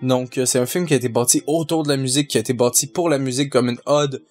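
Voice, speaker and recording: Quebec French man, casual Q&A answers, relaxed delivery, mid-pitched voice. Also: fast talker, soft background music. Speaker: fandub group member. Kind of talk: casual answers to viewer questions.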